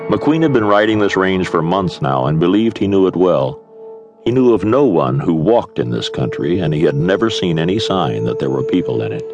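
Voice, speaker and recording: A man's voice: low-pitched, very animated, normal speed, dull audio, over music. A man reading scripted dramatic narration for a western audio drama.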